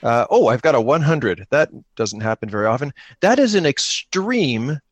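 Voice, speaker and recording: A man's voice: medium pitch, big pitch swings, moderate pace. A man hosting an improvised tabletop roleplaying game in casual chat, thinking aloud and posing questions that he then answers. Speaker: host and player of an improvised solo-engine tabletop game.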